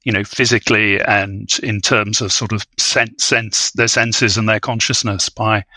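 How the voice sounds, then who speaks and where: A man speaking as an academic guest, discussing literature in halting, erudite phrases with repeated words. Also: lowish voice, steady tone, sharp tone, no room sound, low-quality recording. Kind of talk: scholarly interview discussion.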